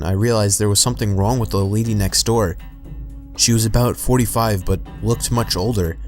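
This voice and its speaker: A man narrating true horror stories in a calm, steady, scripted way. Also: lowish voice.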